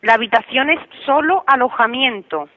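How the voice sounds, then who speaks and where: A woman's voice: sits high, moderate pace. Firm receptionist woman on a muffled phone. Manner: matter-of-fact, firm. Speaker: hotel receptionist. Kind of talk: customer service phone call. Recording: muffled telephone line.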